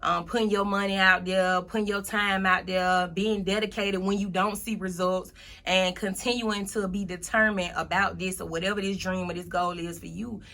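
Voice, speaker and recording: A woman's voice: mid-range voice, flat delivery, normal speed, sharp tone. A woman of thirty-one, speaking as a motivational guest in a casual interview, emphatically repeating her points.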